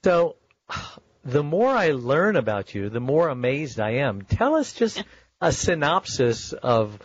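A male radio host whose voice moves in lively rises and falls, with a husky edge, bantering loosely while interviewing. His line sounds dull and a bit muffled. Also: on the high side, slow pace, no echo. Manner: chatty and joking, asks the questions. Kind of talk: casual interview.

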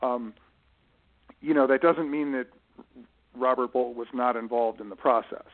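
A man giving thoughtful, explanatory interview answers in a halting way, often restarting and correcting himself as he goes. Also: medium pitch, steady tone, narrow, tinny audio. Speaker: guest, writer managing his late father's literary estate. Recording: band-limited radio-style voice recording.

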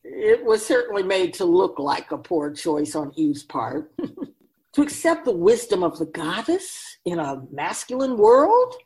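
A woman playing a wise, teasing, ageless female spirit guide in a radio drama, speaking in a measured, knowing way. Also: lowish voice, very animated, a little mellow. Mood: wryly amused. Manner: measured, knowing, rhetorical questioning.

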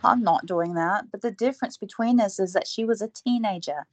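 A woman's voice: medium pitch, a little fast, no echo, lightly acted. A woman playing a blunt young medic. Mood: earnest.

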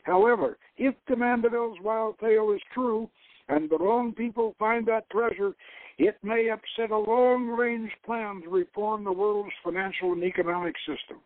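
A man's voice: high-pitched, normal speed, no echo, voice pushed up, lightly acted. A man reading the part of a cold, cynical intelligence director in an adventure novel, over a thin phone-like line. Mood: dismissive, commanding. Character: cold, cynical male intelligence director who despises America. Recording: thin phone-like radio sound.

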